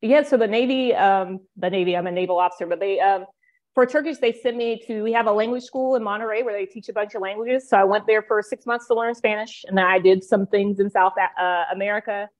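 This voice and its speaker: A woman's voice: mid-range voice, steady tone, quick pace. A woman book coach talking as a guest in a casual interview, rambling with many fillers.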